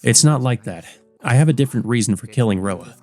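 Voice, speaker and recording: An earnest teenage visual novel hero read by a man, resolute and musing, in love and set on his course. The voice is mellow and mid-pitched, with faint music behind it. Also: animated, fast, natural voice. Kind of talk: visual novel character reading.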